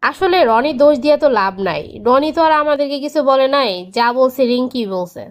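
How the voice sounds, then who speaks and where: A woman's voice: sits high, lively intonation, brisk. A woman voicing a caring, remorseful wife in a cartoon family drama, earnest and attentive, with a Bangladeshi Bengali accent. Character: caring, remorseful wife and elder sister-in-law.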